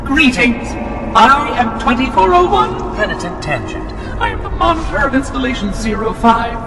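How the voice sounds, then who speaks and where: Piping, fussy little robot caretaker. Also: male voice, very high voice, very animated, moderate pace, heavily acted. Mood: chirpy, officious. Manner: precise, officious, chirpy. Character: prim, fussy floating robot caretaker, officious. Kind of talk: video game cutscene acting. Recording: echoey hall, distant, noisy background.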